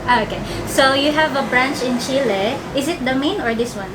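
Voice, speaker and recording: A woman's voice: high voice, normal speed, noisy background. Chatty young woman hosting an interview. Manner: chatty and upbeat, with filler "like". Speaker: YouTube channel host. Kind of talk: friendly interview. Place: small office room, seated at a table.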